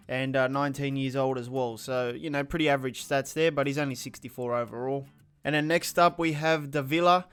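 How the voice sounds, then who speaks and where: A man's voice: a little high, clean tone. A man hosting a gaming channel with a slight sore throat, running through update stats in a casual, matter-of-fact way. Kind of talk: video game update rundown commentary.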